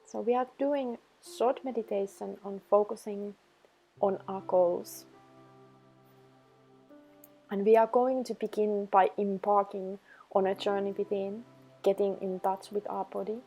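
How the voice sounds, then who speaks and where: A woman leading a guided meditation, giving instructions in slow, measured phrases. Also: medium pitch, steady tone, mellow. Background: background music.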